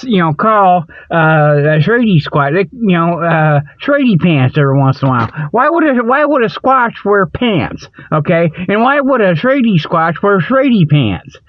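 A man's voice: high-pitched, big pitch swings, a little slow, dry recording. A man playing a folksy, rambling comic radio host who delivers a mock report with colloquial speech and repeats himself. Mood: rambling, amused. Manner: rambling, repetitive, chuckles at own jokes. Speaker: comedy radio show host. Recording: dull lo-fi audio.

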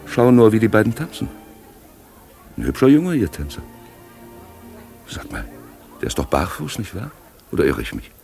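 Deep-voiced, urbane cynic. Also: male voice, wildly swooping pitch, vocal fry, a little mellow, heavily acted. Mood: amused, sardonic. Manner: dry, ironic, measured, articulate. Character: urbane, cynical gentleman friend of a rich host. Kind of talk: 1950s German radio play acting. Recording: vintage 1950s radio play with music.

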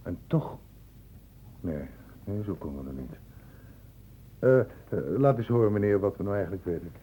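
An actor playing a persistent male doctor investigating an infection in a Dutch radio drama, questioning deliberately. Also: low voice, expressive, warm tone, husky. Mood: pensive, probing.

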